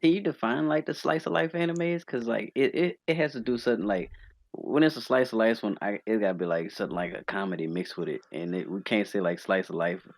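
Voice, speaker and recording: Gravelly, low-voiced man in lively chat. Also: fast talker, dry recording. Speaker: podcast co-host. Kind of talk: casual podcast chat among friends.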